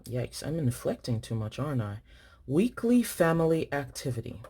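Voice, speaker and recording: A woman's voice: deep voice, big pitch swings, moderate pace, mellow, slightly rough. A woman from Nigeria chatting casually to her viewers while filling in her planner, thinking aloud and correcting herself as she writes. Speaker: planner vlogger.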